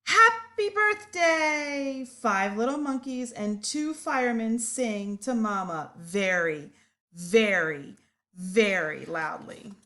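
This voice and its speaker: A woman storyteller reading a picture book aloud to young children, expressive and deliberate. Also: mid-range voice.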